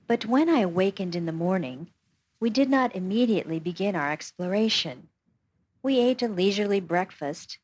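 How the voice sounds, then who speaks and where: A woman reading a first-person memoir aloud as scripted narration, steady and even. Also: fairly low pitch. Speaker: audiobook reader.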